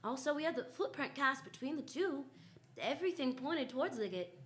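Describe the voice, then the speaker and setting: A woman's voice: high-pitched, lively intonation, fairly quick, slight background noise. A woman playing a confident, wry female private detective who narrates and acts in old-time radio style. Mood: storytelling. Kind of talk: 1940s radio detective drama, first-person narration.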